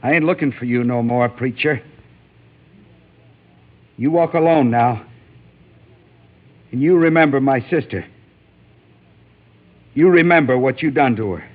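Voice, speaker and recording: A man's voice: mid-range voice, measured pace, pauses often, close to an everyday voice. Guy doing a bitter cowboy out for revenge, terse country talk, old radio western, tinny sound. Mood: bitter resignation. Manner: rustic frontier speech, terse. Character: bitter, vengeful western man grieving his sister. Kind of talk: 1950s radio western acting. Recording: noisy band-limited vintage radio recording.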